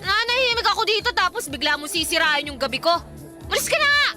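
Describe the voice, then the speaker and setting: Very shrill, drunk young woman. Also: very animated, quick pace. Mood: drunk, defiant. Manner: whiny, emotional, defiant. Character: drunk, lovesick young woman, stubborn and hot-tempered. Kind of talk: Tagalog radio drama acting. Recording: music bed behind the dialogue.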